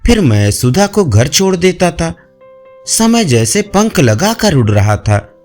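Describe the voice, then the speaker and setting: Slow, high-voiced man telling a story. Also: big pitch swings, darkish tone. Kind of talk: scripted audio story narration in Hindi. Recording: music bed behind voice. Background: background music.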